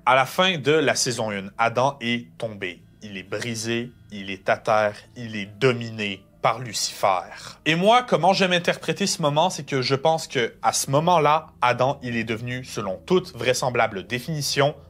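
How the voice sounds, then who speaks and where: A man's voice: medium pitch, normal speed, hardly any pauses, fairly bright tone. A man giving scripted analytical narration as a video essayist, emphatic with colloquial touches.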